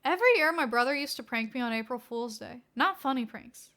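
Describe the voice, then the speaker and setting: A woman plays a smug, petty little brother in an audio story. He talks in a high, bright voice with dramatic triumph. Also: animated, fairly quick.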